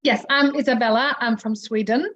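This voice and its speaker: A woman's voice: fairly high pitch, fairly even intonation, normal speed, a bit bright. A woman, sharing her personal story hesitantly, with many restarts. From Austria. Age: 40s. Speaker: support group member. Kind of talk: personal testimony. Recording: muffled lo-fi audio.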